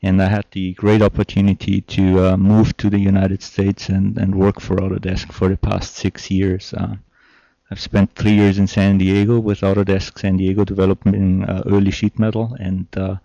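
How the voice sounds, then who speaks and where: A man from Austria with an Austrian German accent, a native German speaker, answering interview questions in a measured, hesitant way with many fillers. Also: low voice, monotone, vocal fry, mellow, no room sound, lo-fi sound. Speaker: interview guest, software developer.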